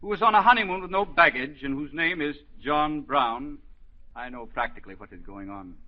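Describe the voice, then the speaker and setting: A man acts out an elderly psychiatry professor with a fairly high voice for a man. He is shrewd, imperious and scolding, like a fatherly mentor. The sound is that of a dull 1940s radio drama. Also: animated, moderate pace, natural voice. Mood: grave, explaining.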